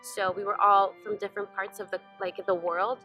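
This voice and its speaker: Bright-voiced young woman from Venezuela. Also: mid-range voice, little pitch movement, normal speed, faint music behind. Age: young adult. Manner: chatty, many "like" fillers. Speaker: interviewee, college senior. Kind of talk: casual documentary interview.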